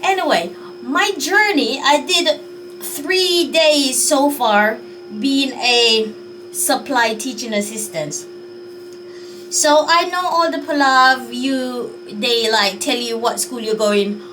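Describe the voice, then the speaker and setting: A woman's voice: high voice, takes it slowly, fairly bright tone, recorded from a distance, slight background noise, poor audio. A Jamaican woman vlogging in a chatty, unsure way, sighing as she thinks things over. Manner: chatty, musing and unsure, with sighs. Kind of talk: casual talk to camera.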